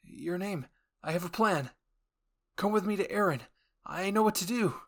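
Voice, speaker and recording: A man voicing an anxious, higher-pitched young soldier. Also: expressive, moderate pace. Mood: urgent, resolved. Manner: hesitant, stammering, pleading. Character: anxious, unsure young male soldier turned strategist. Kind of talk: anime fan roleplay voice acting.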